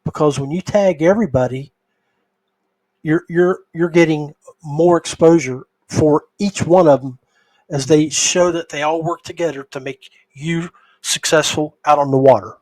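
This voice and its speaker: Guy hosting a podcast, bit higher voice, dry sound, just casually giving tips. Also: normal speed, a little mellow, dry recording, rough recording. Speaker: podcast host. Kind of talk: casual talk, giving advice.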